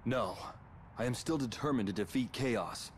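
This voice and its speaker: An actor playing an earnest young warrior with a solemn, determined manner in a video game cutscene. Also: male voice, medium pitch, moderate pace, slight background noise, lightly acted. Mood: troubled but resolute. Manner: solemn, plainly spoken, determined. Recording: loud background music.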